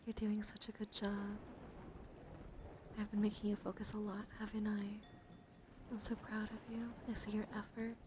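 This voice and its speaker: A woman livestream host speaking in a soothing, mostly whispered ASMR style, breathy and casual, with playful encouragement. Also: medium pitch, monotone, normal speed, pauses often, noisy background. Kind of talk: whispered ASMR card reading with casual chat.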